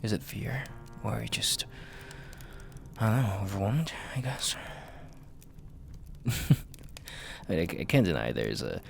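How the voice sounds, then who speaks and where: Mafia boss for audio roleplay, male actor: dominant and seductive man, slow, swooping delivery in a breathy gravelly murmur, teasing but in command. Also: on the low side, huge pitch swings, slight background noise, exaggerated voice. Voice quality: gravelly, breathy half-whisper.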